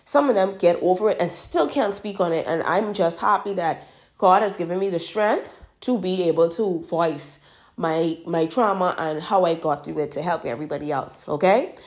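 A woman's voice: on the low side, moderate pace. A Bahamian woman in her twenties with a Bahamian accent gives emphatic dating advice straight to her listeners and repeats words for stress. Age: 20s. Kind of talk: casual advice monologue.

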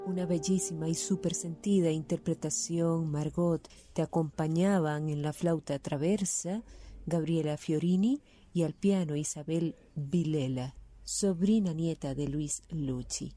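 Low, very dark-voiced woman presenter. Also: fairly even intonation, a little slow, soft background music. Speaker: host. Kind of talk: formal event presenting.

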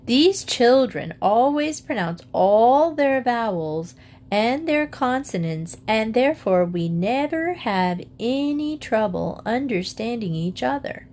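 A woman's voice: fairly high pitch, expressive, darkish tone, pitched above the performer's own voice. Prim storybook mother, condescending, each vowel polished, a woman puts her on. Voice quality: precise, over-enunciated. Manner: slow and condescending. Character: prim, self-satisfied mother who prizes correct speech.

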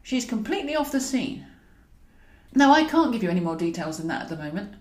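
An elderly scheming woman, put on by a woman narrator for an audiobook. She is bossy and sardonic, with a lively, swinging pitch. The room rings a little around her. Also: a little fast, roomy sound, lightly acted. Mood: brisk scheming. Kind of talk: character dialogue in an audiobook.

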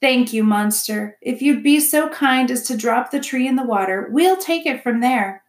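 A brisk, no-nonsense beaver wife from a children's story, acted by a woman. She is bossy and scolding, yet courteous. Her pitch is lifted and the room echoes around her. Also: close to an everyday voice.

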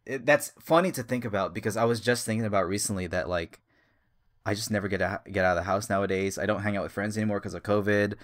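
A man in his thirties with a somewhat low voice, chatting on a casual podcast. He rambles eagerly, restarting his sentences and filling pauses with "um" and "like.". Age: 30s. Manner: rambling, enthusiastic, many fillers and restarts. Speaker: podcast co-host.

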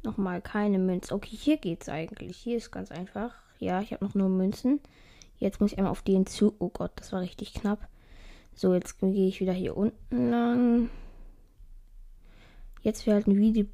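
A young woman talks through a mobile game in a loose, casual way, swearing lightly whenever she fails. Her voice is mellow but a little hoarse and cracks now and then. Her pitch keeps drifting up high. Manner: voice keeps rising high at phrase ends. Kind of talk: casual gameplay commentary.

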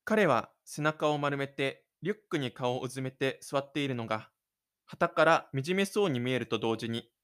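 A man's voice: mid-range voice, a bit bright. A man reading scripted literary narration for an audio drama.

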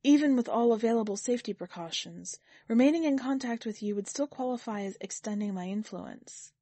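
A woman reads as a calm machine intelligence in an audiobook story. It speaks formally and evenly, with grave weight, in a dry, close recording. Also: on the high side, a little fast, no room sound, natural voice. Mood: grave, measured. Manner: formal, even delivery.

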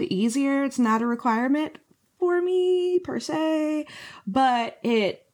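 A woman co-hosting a podcast in casual chat, slightly hoarse, laughing often and filling her talk with "like". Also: on the high side. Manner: laughing, chatty, lots of "like" fillers. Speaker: podcast co-host.

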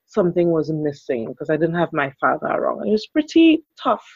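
Trinidadian woman, low voice, dull dry sound. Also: very animated, brisk, a little mellow, slightly rough, no room sound. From Trinidad and Tobago. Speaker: guest relationship educator. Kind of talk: interview conversation.

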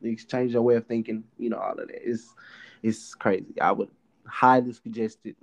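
A man of twenty co-hosting a podcast, playful and jokey, often jumping in with quick reactions. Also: mid-range voice, steady tone, creaky. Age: 20s. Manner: playful, jokey, chimes in a lot. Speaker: podcast co-host. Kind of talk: casual chat.

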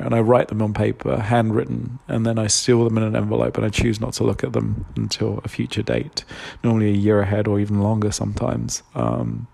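A man, stuffed up with a cold, talking openly and hesitantly in a solo podcast monologue, full of pauses and fillers. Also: on the low side, creaky, darkish tone. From London, England. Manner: open, confessional, hesitant with many fillers. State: congested with a cold. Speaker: podcast host.

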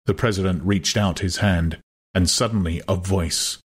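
A man narrating an audiobook in a measured, dramatic style. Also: low-pitched, steady tone, slightly rough.

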